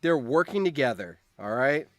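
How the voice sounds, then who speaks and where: A man hosting a live stream, reading out game events and reacting in an animated, exclamatory way with repeated phrases. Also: medium pitch, moderate pace, no room sound.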